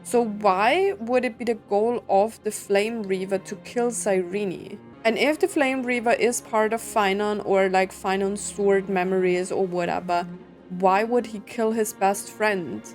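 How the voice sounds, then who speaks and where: A woman's voice: medium pitch, fairly even intonation, unhurried, faint music behind. A woman giving casual reaction commentary as a game streamer, thinking aloud and asking questions as she goes.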